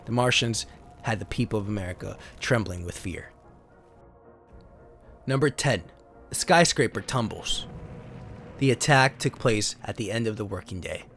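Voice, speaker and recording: A man's voice: lowish voice, a bit bright. Man dramatically narrating over background noise. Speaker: narrator and host. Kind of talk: dramatic reading of pulp sci-fi card stories. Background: sound effects and ambience.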